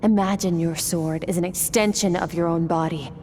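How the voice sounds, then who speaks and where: A woman voicing a calm, exacting swordmaster who firmly instructs her student in a game. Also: on the low side, moderate pace, background noise, close to an everyday voice. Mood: stern, instructive. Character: stern swordmaster mentor woman, cool and exacting. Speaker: sword teacher. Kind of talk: game voice acting. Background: game music.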